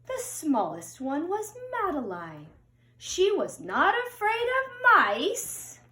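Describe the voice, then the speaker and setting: A middle-aged woman reading a picture book aloud to young children in an expressive singsong way, recorded in a room at home. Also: high-pitched. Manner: slow, deliberate, singsong storytelling addressed to children. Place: indoors upstairs at home. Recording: roomy home recording with echo.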